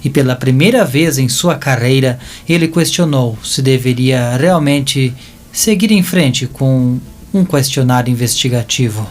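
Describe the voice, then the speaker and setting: A man's voice: medium pitch, unhurried. A man with a Brazilian accent narrating a scripted story dramatically, as in an audio drama. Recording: music bed behind narration.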